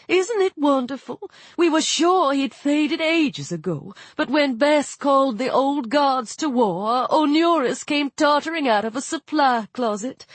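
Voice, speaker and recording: A woman's voice: high-pitched, dry recording. A woman voicing a kindly, motherly female hippo goddess who works as a nurse, gushing and doting.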